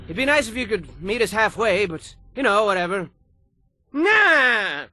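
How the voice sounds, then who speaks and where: A flamboyant high-pitched game narrator. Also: male voice, big pitch swings, unhurried, sharp tone, dry recording, studio quality, exaggerated voice. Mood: melodramatic. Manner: theatrical, rambling, swings from grandiose to petulant. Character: flamboyant, excitable adult male storyteller narrator. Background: game music.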